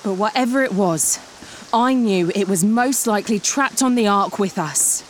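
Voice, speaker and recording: A woman's voice: medium pitch, normal speed, slight background noise, lightly acted. An actress playing an earnest, suspicious woman writer turned amateur detective, dramatic and insistent, in an audio comedy mystery. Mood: dramatic storytelling. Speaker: lead actor and first-person narrator.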